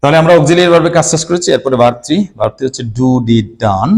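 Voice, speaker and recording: A male teacher speaking at an ordinary mid pitch, walking students through grammar rules one step at a time. He addresses the class directly and breaks off between words while writing examples out. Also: lively intonation, very fast. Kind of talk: whiteboard grammar lesson.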